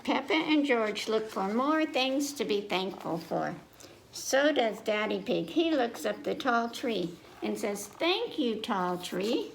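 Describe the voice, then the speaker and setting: An American woman reading a picture book aloud to children, speaking simply and pausing to check in with her young listeners. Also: fairly high pitch, animated, creaky. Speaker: children's librarian, story time host. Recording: echoey room with faint noise.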